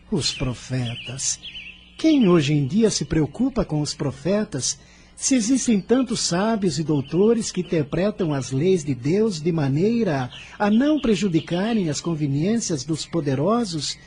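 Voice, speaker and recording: An actor playing an earnest, gentle, self-doubting teenage boy in a radio drama, with a soulful, declamatory delivery. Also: fairly high pitch, husky, exaggerated voice.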